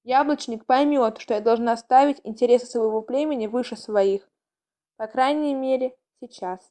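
A woman's voice: on the high side, moderate pace, warm tone, lightly acted. A woman voicing an anguished, loving mother cat in an audiobook story, with an emotional, pleading manner. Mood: guilty, resolute.

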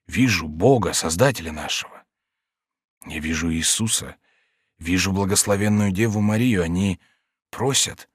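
A man voicing a dying king in a storybook reading, frightened and pious, speaking in a halting, prayerful way. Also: sits low, a little mellow, no room sound, studio quality.

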